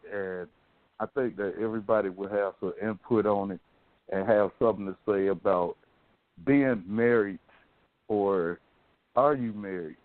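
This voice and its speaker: A man's voice: fairly low pitch, dry recording, narrow, tinny audio. A man with an American accent hosting a talk show, chatting casually in informal slang. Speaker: radio show host. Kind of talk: casual talk radio chat.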